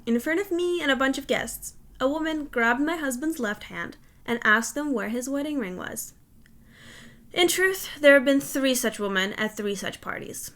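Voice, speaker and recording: A woman reading a humorous personal essay aloud in an expressive, emphatic, mock-stern way. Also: fairly high pitch.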